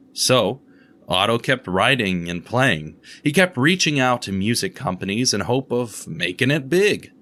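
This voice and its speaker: A man narrates a radio drama with measured, somber delivery, his voice sitting a little low. Music plays clearly beneath his narration. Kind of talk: scripted narration.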